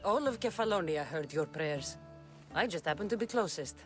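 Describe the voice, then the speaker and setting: A self-assured mercenary warrior with a mid-pitched voice that moves lively through its range. She talks bluntly and teases with dry wit. Her lines stay cool and direct. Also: normal speed, soft background music. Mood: curious. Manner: dry, teasing, matter-of-fact. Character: confident woman mercenary warrior, wry and blunt. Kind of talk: video game cutscene acting.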